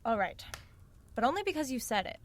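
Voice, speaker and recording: A woman's voice: fairly high pitch, sing-song, brisk. An actress playing a brash, practical young woman in an audio drama, with a sarcastic, incredulous manner. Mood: reluctant, wry. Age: young adult. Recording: dramatic music under the dialogue.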